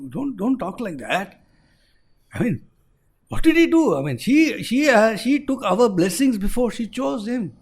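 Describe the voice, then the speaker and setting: A man's voice: high voice, sing-song, a little slow, slightly rough, heavily acted. A man voicing a proud male king of the gods who lectures and warns emphatically. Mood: admonishing.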